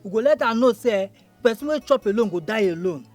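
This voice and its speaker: Male actor as a high-voiced, rapid-fire Nigerian Pidgin schemer, pushy, with faint film music behind. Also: lively intonation, darkish tone. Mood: menacing. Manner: pushy, scolding, quick-talking. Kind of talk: Nollywood drama acting.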